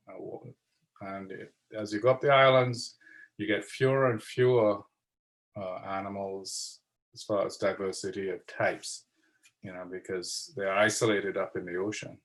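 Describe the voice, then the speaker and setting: A man from Trinidad and Tobago giving an unhurried slide presentation over a video call, pausing for fillers and repeating words. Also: mid-range voice, creaky, fairly bright tone. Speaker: guest speaker.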